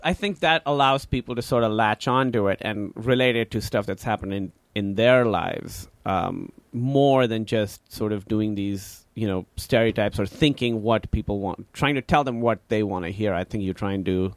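A man's voice: fairly low pitch, moderate pace, few pauses, dry recording. Pakistani-accented guy chatting about comedy, thoughtful, lots of ums, dead dry sound. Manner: reflective, candid, with frequent fillers. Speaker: stand-up comedian and podcast guest. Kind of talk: casual conversation.

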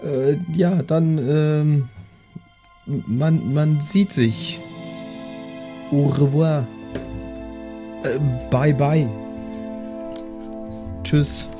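A man's voice: fairly high pitch, steady tone, very slow, pauses often, dark tone, faint music behind. A man chatting casually as a player in an improvised tabletop roleplay game. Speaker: role-playing game player.

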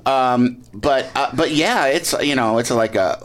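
A man's voice: medium pitch, a little slow. A middle-aged man, a guest on a talk show, telling a story in casual chat, laughing and mocking himself, with lots of restarts. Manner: animated, laughing, self-mocking, many restarts. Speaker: actor and guest.

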